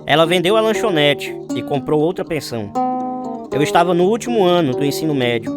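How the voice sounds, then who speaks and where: A man's voice: fairly high pitch, expressive, brisk, over loud music, natural voice. An actor playing an earnest man recalling his youth in scripted radio drama narration. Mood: reflective.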